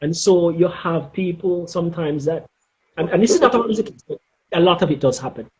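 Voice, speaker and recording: Jamaican guy middle aged, kinda high voice, giving advice in an interview, really deliberate and emphatic. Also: darkish tone, poor audio. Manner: emphatic and deliberate, with frequent "okay?" check-ins. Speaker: interview guest, former air traffic controller.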